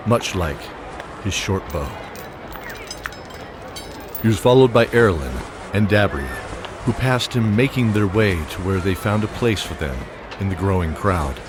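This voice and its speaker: Deep-voiced man narrating a fantasy tale. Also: noisy background. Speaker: narrator and game master. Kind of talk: scripted narration.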